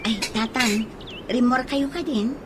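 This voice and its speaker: A woman playing a fretful adult daughter in an Ilocano radio comedy drama, speaking in an animated way. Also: a little high, a little slow, close to an everyday voice. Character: fretful adult daughter, a gossip-troubled nurse. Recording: radio drama with clear background noise.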